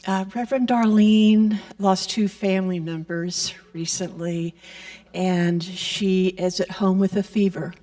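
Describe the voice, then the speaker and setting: Woman, church announcer, slow unhurried pace, gentle blessing tone, mic a bit distant. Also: mid-range voice. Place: church sanctuary. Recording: voice picked up from a distance.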